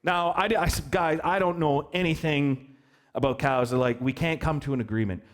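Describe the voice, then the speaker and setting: A man preaching a sermon as pastor, telling a story in an animated, joking way and asking the children questions. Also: a little high, moderate pace. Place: church hall.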